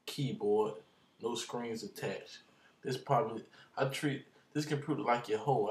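A young man talking into his webcam in a dark bedroom, bragging in slang, half-singing some lines. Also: on the low side, reverberant. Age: young adult. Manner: boastful slangy banter, drifts into half-sung lines and laughs. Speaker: vlogger. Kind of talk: casual rambling vlog. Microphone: computer webcam up close.

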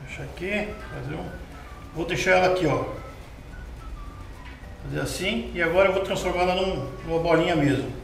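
A man with a Brazilian Portuguese accent hosting a cooking show, explaining each step in a relaxed, chatty way and asking viewers questions as he works. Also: fairly high pitch, a little fast, creaky, pauses often, faint music behind. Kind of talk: casual cooking demonstration. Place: home kitchen with tiled wall, indoors. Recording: roomy kitchen sound from a distance.

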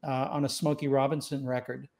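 A man's voice: medium pitch, level tone, a little fast, creaky, a little mellow. A man in his sixties, born in Scotland and raised in Los Angeles, telling stories as a musician guest in an easygoing, reflective way, with plenty of fillers and restarts. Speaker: saxophonist guest. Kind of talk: relaxed storytelling interview. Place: home room with wooden doors and shelves. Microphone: studio mic on boom arm, video call.

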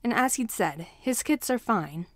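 A woman's voice: a little high, animated, unhurried, no room sound, studio quality. A woman narrating a scripted story as a fan fiction reader.

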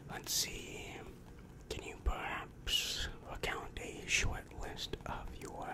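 Young man whispering slowly, close-mic ASMR. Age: young adult. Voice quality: whispered, breathy. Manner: slow, measured, soft questioning. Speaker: ASMR performer. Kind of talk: ASMR roleplay as a demon guidance counselor. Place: small dim room indoors. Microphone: large microphone very close to the mouth.

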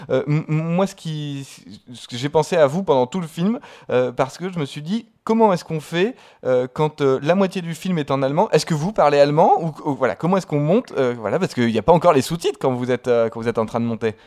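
A man hosting a radio interview, asking halting questions full of restarts and fillers. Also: on the high side, animated, a little mellow. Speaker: interviewer, host.

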